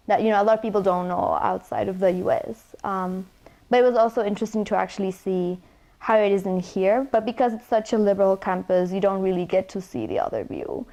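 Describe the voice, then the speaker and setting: A young college woman from Ecuador talks easily and conversationally through interview questions, her voice at an ordinary middle pitch. She fills her answers with "you know" as she thinks aloud. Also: gravelly, dark tone, rough recording. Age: young adult.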